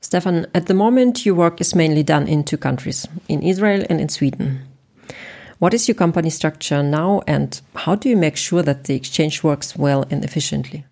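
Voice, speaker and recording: A woman interviewer with a very deep, gravelly voice asks prepared questions. Her tone is mellow and dark, and she keeps a measured, formal delivery.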